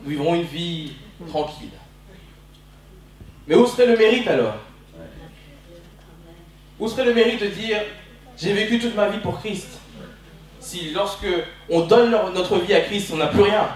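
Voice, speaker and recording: A man's voice: high-pitched, expressive, unhurried, pauses often. A man in his twenties from Belgium preaching a passionate, emphatic sermon that leans on repeated phrases. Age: 20s. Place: church hall during a service. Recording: very echoey church hall, distant mic.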